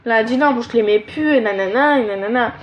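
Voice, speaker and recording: Teen girl, nasal stuffy voice, high-pitched, rambling frank chat, echoey room. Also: quick pace, a little hiss or hum. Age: teens. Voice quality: nasal from allergies. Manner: rambling, frank, lots of fillers. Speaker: vlogger giving advice. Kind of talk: casual advice monologue.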